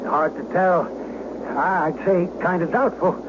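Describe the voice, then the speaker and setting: An American actor playing an anxious amateur flyer in a radio drama, halting, tense and folksy in manner. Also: male voice, sits high. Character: anxious male amateur flyer stuck piloting an airliner. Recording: noisy, muffled old radio drama.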